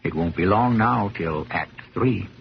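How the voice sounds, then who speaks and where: A man acting as the urbane, philosophical host of a radio mystery, narrating in a deliberate, sardonic manner. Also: sits low, vocal fry. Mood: knowing, teasing. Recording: dull 1970s radio broadcast recording. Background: music.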